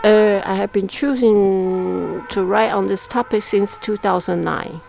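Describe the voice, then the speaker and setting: A woman from Taiwan speaking as an interview guest in casual conversation, with halting, pause-filled non-native English. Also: medium pitch, creaky, darkish tone, a little hiss or hum. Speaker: interview guest, media artist.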